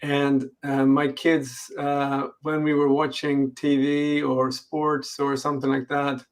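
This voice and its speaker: A man's voice: mid-range voice, monotone, slow. A middle-aged man with a Swedish accent, a company executive answering interview questions over a video call, hesitant with lots of fillers and restarts. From Sweden. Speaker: games company executive guest.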